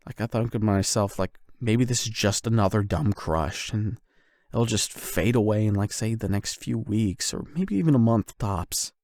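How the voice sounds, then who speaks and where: A man's voice: fairly low pitch, vocal fry, a little mellow, no echo, studio quality. A man acting a shy, flustered young man confessing love to an online friend in a hesitant, rambling ASMR boyfriend roleplay. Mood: sincere, vulnerable. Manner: hesitant, rambling, nervous chuckles.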